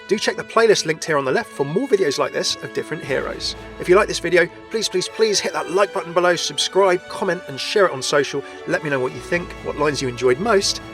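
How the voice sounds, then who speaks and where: A male host narrates a scripted gaming commentary in a chatty way, speaking to the viewer. His voice sits a little high and moves quickly over faint music. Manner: chatty, addresses viewers directly. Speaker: video game lore channel host.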